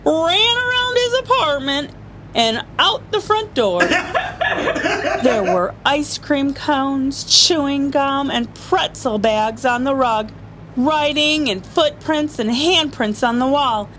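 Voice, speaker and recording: A woman's voice: high voice, animated, slow, background noise. A woman reading a children's picture book aloud as a story-time reader, playful and often chuckling.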